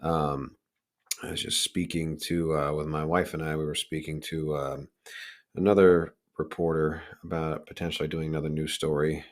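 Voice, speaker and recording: A middle-aged man hosting a casual solo podcast, with a raspy, hoarse voice and a candid, halting, informal delivery. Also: rumbling low, flat delivery. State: hoarse, recovering from lost voice. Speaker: podcast host.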